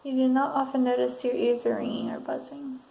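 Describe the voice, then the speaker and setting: A woman doing a soft-spoken ASMR counselor roleplay, reading out assessment statements in an unhurried way with pauses. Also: high-pitched, lively intonation, vocal fry. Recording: roomy sound, faint background noise.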